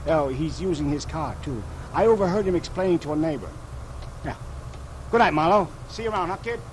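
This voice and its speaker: An actor playing a sly, cheeky small-time crook and informant, slangy and over-familiar, in an old radio drama. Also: male voice, on the high side, lively intonation, brisk, close to an everyday voice, poor audio. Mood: smug, casual. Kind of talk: 1940s-50s radio drama acting. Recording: old radio broadcast with faint engine noise.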